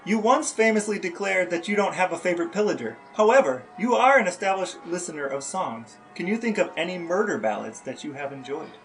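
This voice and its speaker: Guy podcast host, kinda high voice, really animated, wry mock-serious chat, faint music. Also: brisk, hardly any pauses. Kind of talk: casual chat and playful interviewing.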